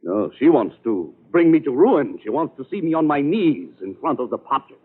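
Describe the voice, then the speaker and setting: A man's voice: medium pitch, big pitch swings, moderate pace. A man playing an agitated, harried doctor with stiffly formal phrasing, in 1940s radio acting style. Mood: distraught. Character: agitated, formal, foreign-sounding male doctor, harried husband. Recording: dull old radio recording.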